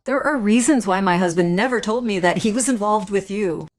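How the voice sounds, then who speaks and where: An actress playing a worried, stubborn wife in a crime radio drama, speaking in a curt, insistent way. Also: female voice, medium pitch, fairly quick, lightly acted. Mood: insistent, accusing.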